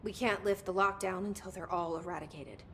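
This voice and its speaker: A woman's voice: fairly low pitch, fairly even intonation, brisk, fairly bright tone, a little hiss or hum. Resolute, plain-spoken young woman director. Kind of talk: naturalistic video game acting.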